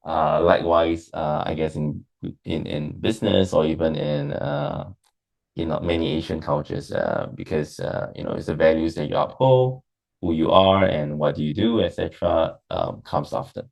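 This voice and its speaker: A man's voice: low voice, lively intonation, moderate pace, gravelly, darkish tone. A Singaporean man with a Singaporean English accent, answering interview questions casually, hesitant with many fillers. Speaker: interviewee, businessperson.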